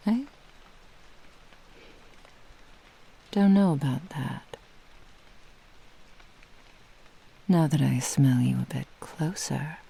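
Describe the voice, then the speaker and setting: A woman voicing a possessive, seductive fox-spirit witch in a whispered ASMR roleplay, teasing and menacing in a breathy, husky murmur. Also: low-pitched, mellow, full character voice, low-quality recording. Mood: curious, teasing. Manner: slow, teasing, purring menace.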